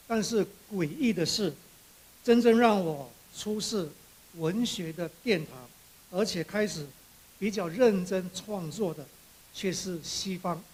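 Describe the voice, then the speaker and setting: A high-voiced man delivers a prepared speech. His voice is husky and breaks the text into short, measured phrases, with some hesitation. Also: poor audio. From Borneo, Malaysia. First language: Chinese. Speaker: author giving an acceptance speech.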